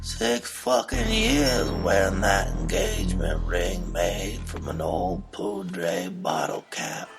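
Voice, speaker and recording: A man playing a bitter, foul-mouthed, jilted post-apocalyptic character who rambles through a slow theatrical monologue in a creaky voice. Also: low-pitched, sing-song, over loud music, full character voice.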